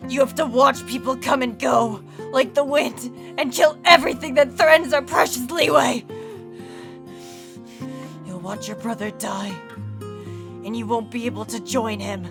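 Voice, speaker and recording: A woman's voice: fairly high pitch, unhurried, music in the background. Woman voicing an anguished immortal guardian boy, intense. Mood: bitter, scornful. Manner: emotional, dramatic, pleading speeches. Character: anguished, bitter immortal male guardian spirit, youthful-looking. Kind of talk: fan audio drama acting.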